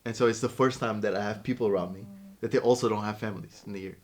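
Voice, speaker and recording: A young man from Portugal telling stories in a relaxed podcast chat, with many fillers. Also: lowish voice, very fast. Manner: relaxed storytelling with lots of fillers. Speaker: podcast co-host. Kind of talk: casual chat.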